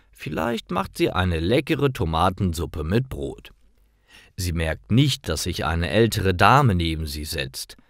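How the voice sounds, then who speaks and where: Slow, deep male story narrator. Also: fairly bright tone, no echo, studio quality. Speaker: narrator of stories for German learners. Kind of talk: scripted story narration for learners.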